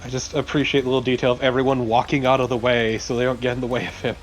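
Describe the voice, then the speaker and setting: A man's voice: medium pitch, little pitch movement, racing, faint music behind, rough recording. A man co-commentating on a video game in a casual, wisecracking way. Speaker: let's play co-commentator.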